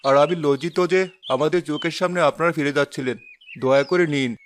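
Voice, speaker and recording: A man voicing a calm, kind, well-off father in a cartoon, speaking gently. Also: a little high, quick pace, slight background noise, lightly acted. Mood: gentle. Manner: gentle, soft-spoken. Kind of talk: cartoon voice acting.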